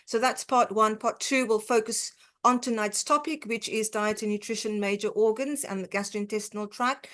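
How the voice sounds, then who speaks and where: A woman from South Africa with a South African accent, a dietitian giving an online lecture, often pausing with fillers and restarting her sentences. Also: medium pitch, a bit bright. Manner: hesitant, many fillers and restarts.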